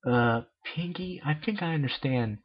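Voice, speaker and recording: A man's voice: a little high, a little slow, voice pushed up. A man voicing a flustered, awkward young female cartoon pegasus pony with hesitant, stammering speech, on a muffled home recording.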